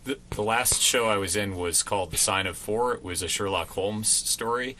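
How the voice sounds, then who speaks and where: Bright, low-voiced man in casual interview. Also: moderate pace, a little hiss or hum. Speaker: radio theater performer, interview guest. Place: college radio station.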